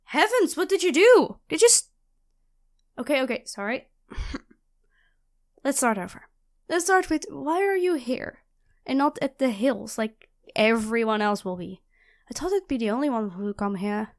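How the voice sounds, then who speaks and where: A woman playing a nerdy, chatty young student in an audio roleplay, expressive and flustered, often apologizing and correcting herself. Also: high voice, a little slow, pauses often. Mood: startled, flustered. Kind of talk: audio roleplay acting addressed to the listener.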